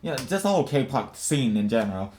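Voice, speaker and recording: A man's voice: mid-range voice, big pitch swings, brisk, a little mellow. A man co-hosting a casual podcast discussion, rambling with many restarts and fillers. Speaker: podcast co-host.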